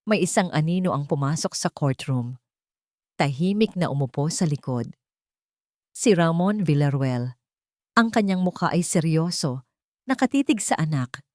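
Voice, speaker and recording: A woman's voice: sits low, animated, normal speed, pauses often, a little mellow, no room sound, studio quality. A woman reading a scripted dramatic story as a storyteller.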